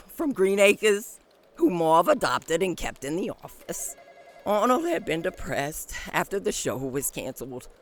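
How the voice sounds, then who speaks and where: High-pitched, fussy office assistant. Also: male voice, vocal fry, heavily acted. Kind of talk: comic mock-documentary interview.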